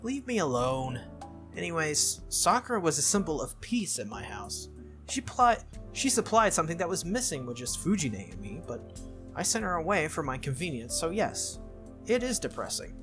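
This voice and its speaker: An earnest teenage anime schoolboy, voiced by a game reader, easily flustered and quick to apologize. The delivery is animated and bright, with faint music underneath. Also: mid-range voice, pitched above the performer's own voice. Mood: downcast.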